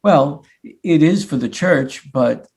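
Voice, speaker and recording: A man playing an earnest, flustered sixteen-year-old playwright son in a staged reading, defensive and mock-modest, with a husky voice. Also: on the high side, expressive, slow, a little mellow, exaggerated voice. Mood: evasive. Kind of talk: live staged play reading.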